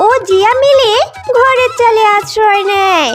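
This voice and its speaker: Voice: very high-pitched, a little slow, over loud music. A narrator reading a scripted children's cartoon story between the characters' lines.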